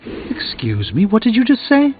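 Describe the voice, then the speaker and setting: A man's voice: high-pitched, huge pitch swings, moderate pace. A man playing a proud, wry sorcerer and mentor in a video game, speaking in a formal, articulate, dryly pompous way. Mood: indignant. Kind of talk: scripted video game dialogue. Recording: phone-like narrow band, music behind.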